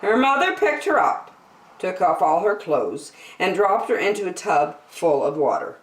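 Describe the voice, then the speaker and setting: A woman reading a picture book aloud to children, expressive and deliberate in her emphasis. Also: lowish voice, far from the mic. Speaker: children's story-time reader. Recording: echoey, roomy sound.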